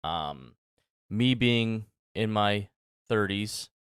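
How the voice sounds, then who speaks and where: Man, thirty, podcast host, very low voice, smooth and clear, dry close sound, casual rambling, lots of ums. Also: lively intonation, extremely slow, a bit bright, no room sound. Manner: rambling, many fillers and restarts. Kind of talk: casual solo podcast talk.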